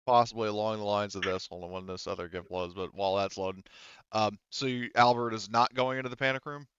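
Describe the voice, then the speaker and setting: A man's voice: lowish voice, fairly even intonation, quick pace, a bit bright, clear, resonant tone, no room sound, muffled recording. A man casually improvising as game master of a tabletop roleplaying game.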